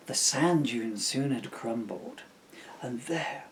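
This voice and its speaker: A man's voice: mid-range voice, animated, takes it slowly, a little hiss or hum. A middle-aged man reading a children's story poem in a theatrical, jokey way, with chatty asides. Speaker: storybook reader and author.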